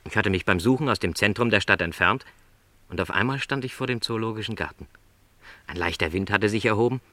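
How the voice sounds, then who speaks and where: A man's voice: lowish voice, fairly quick, sharp tone, no room sound. An actor playing a lonely, dreamy middle-aged travelling salesman in a radio drama, speaking in reflective, rhapsodic literary phrases. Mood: elated, rapt.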